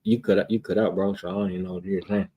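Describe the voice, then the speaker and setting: Deep, mellow-voiced American man debating. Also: level tone, fast. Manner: confrontational, repeats words, frequent throat clearing. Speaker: debater on a religious livestream. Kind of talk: casual argumentative debate, street slang.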